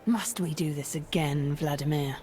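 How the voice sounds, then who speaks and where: A woman voices an imperious video game sorceress with a deep female voice. She speaks in measured, theatrical phrases full of cold disdain and scheming hauteur. A faint noise sits behind her. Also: steady tone, mellow, close to an everyday voice. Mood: weary mockery. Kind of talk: video game character voice lines.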